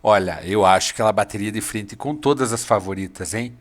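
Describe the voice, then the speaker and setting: A man's voice: lowish voice, brisk. A man with a Brazilian Portuguese accent, hosting a football channel, narrating a scripted video that lists players and gives his opinions in an upbeat way.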